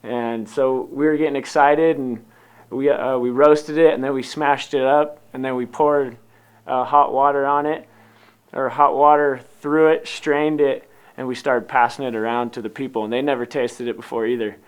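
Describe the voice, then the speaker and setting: A man's voice: mid-range voice, steady tone, moderate pace, clean tone. An American man telling a personal story in a casual, unscripted and loosely rambling way, as if to an interviewer. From Utah, United States. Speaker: coffee company founder telling its story.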